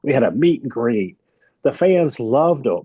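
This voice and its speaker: A man chatting candidly as a podcast guest, often restarting his thoughts with repeated words. His voice comes through dull and lo-fi, with a dry sound and no room echo. He sits at a middle pitch for a man. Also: very animated, a little slow, dark tone, slightly rough. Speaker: guest, wrestling producer and manager. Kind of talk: casual podcast chat.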